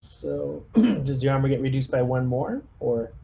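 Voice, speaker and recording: A man's voice: medium pitch, level tone, moderate pace, vocal fry, warm tone, a little hiss or hum, low-quality recording. A man chatting casually as a player in a tabletop roleplaying game.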